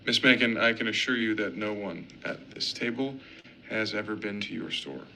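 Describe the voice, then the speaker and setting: An actor playing a suave, confident male ad executive with a deep, smooth, assured voice in a TV drama. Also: moderate pace, sharp tone, slight background noise. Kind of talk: 1960s TV drama acting.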